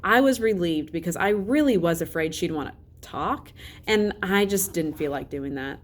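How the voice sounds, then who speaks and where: A woman's voice: on the low side, lively intonation, normal speed. A woman teacher reading a children's novel aloud as a narrator. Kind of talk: storybook read-aloud narration.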